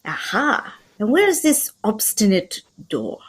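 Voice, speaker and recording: A woman playing a proud, fussy, courteous Belgian male detective in a radio drama, with a put-on French accent and a formal, precise, pompous, husky delivery. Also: medium pitch, huge pitch swings, a little slow, full character voice. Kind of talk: old-time radio drama acting.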